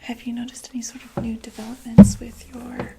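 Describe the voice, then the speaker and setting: A woman playing a gentle vampire doctor in a whispered ASMR medical roleplay, reassuring and a little hesitant. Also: on the high side, flat delivery, a little fast, natural voice. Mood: calm, reassuring. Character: gentle female vampire doctor examining a patient.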